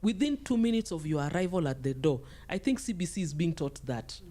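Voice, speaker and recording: A middle-aged woman with a fairly low, mellow and relaxed voice. She talks as a podcast guest giving advice, conversational yet emphatic, often repeating a phrase to drive it in. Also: brisk, a little hiss or hum.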